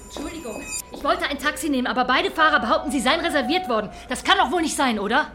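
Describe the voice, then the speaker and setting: An actress playing an indignant, complaining customer who wants a taxi in a German radio crime drama. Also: female voice, high voice, lively intonation, fast talker, bright, forceful tone, soft background music.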